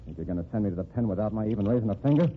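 A man's voice: on the low side, lively intonation, rapid-fire, natural voice. Gangster heavy, male, tough and clipped, played by a man over a tinny hiss-laden old radio line. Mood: threatening. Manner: tough, clipped street talk. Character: menacing male gangster boss, blunt and threatening. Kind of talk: 1940s radio acting.

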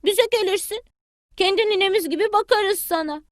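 A woman doing a squeaky, eager little chick. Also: normal speed, dry recording, studio quality. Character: excited small chick child, cheerful and eager.

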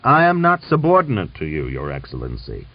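A man's voice: low voice, very animated, moderate pace, no echo, distant mic. A male narrator plays a proud, hot-blooded cavalry commander in an audiobook novel. His voice is thick and his manner stubborn and defiant. The recording is dull and lo-fi. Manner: stubborn, challenging.

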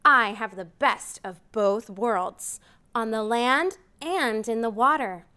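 A woman's voice: on the high side, slow pace, sharp tone, clean tone. A woman in her thirties reading a picture book aloud to children in a library room, counting up with big rising calls. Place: library program room, indoors.

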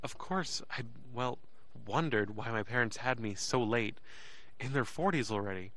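A man's voice: medium pitch, moderate pace, bright, forceful tone, close to an everyday voice. An actor playing an uneasy, earnest young man who narrates his own story in an audio drama. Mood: reflective, stunned.

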